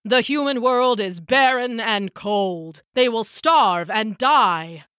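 Woman as old male king of gods, slow, grave, commanding, stern, storybook. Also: on the high side, animated, fairly bright tone, smooth, dry recording, clean studio sound.

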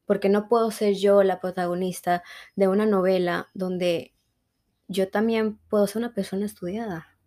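A woman from Mexico with a Mexican accent, a guest in a conversational interview, telling her story in a reflective and animated way. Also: mid-range voice, normal speed. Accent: Mexican Spanish.

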